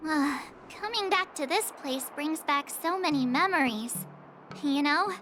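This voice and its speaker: Very high-pitched bubbly girl. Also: measured pace, slight background noise, exaggerated voice. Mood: cheerful, chatty. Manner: perky, exclaiming, expressive. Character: bubbly, chatty teenage girl traveler. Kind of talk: video game character dialogue. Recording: music behind the voice.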